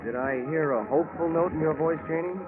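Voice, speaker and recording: A man's voice: fairly high pitch, brisk, natural voice. An actor playing a cynical, wisecracking male insurance investigator in dry, slangy 1940s radio detective style. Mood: wry. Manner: hard-boiled, dry, wisecracking. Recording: tinny old radio broadcast with music.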